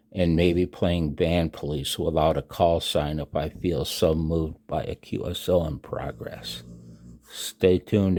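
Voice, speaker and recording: An American man in his sixties reading his own announcement aloud, emphatically and with dramatic pauses, as a radio hobby vlogger. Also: low-pitched, a little mellow. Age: 60s.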